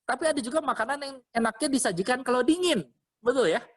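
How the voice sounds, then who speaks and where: A man's voice: very high-pitched, fast talker. A man preaching as a pastor in an informal, interactive way, repeating phrases and checking in with listeners. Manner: interactive, often checking with listeners using short questions; animated, exhorting, repeats phrases. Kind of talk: informal conversational sermon to an online congregation.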